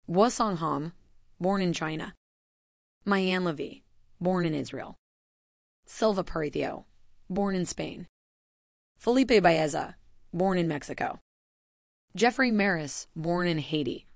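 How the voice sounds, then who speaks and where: A woman with a low voice and a gravelly edge delivers a formal scripted announcement. Her reading is measured, with pauses between each listed item. The recording is close and dry, with no room sound. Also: no room sound. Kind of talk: scripted voice-over narration.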